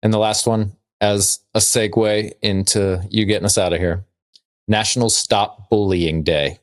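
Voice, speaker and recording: A man with a fairly low voice chats casually as a podcast co-host. He delivers trivia and jokes dryly, in a loose comedic style. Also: steady tone, measured pace.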